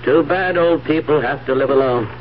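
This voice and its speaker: Actor as high-pitched, obliging building manager. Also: male voice, moderate pace, close to an everyday voice. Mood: sympathetic, obliging. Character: obliging, sympathetic, simple male apartment building manager. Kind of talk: 1970s radio drama acting. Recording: muffled, faintly noisy 1970s radio recording.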